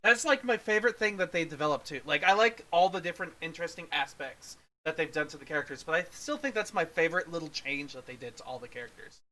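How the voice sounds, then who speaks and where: A man's voice: on the high side, expressive, fast, hardly any pauses, bright, forceful tone, low-quality recording. A man co-hosting a casual film review, talking in a rambling way that often trails off mid-thought. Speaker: podcast co-host reviewer.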